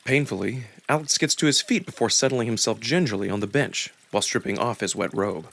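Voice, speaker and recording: A man reading a visual novel aloud as narrator, in an expressive, deliberate first-person storytelling style. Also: fairly low pitch, gravelly.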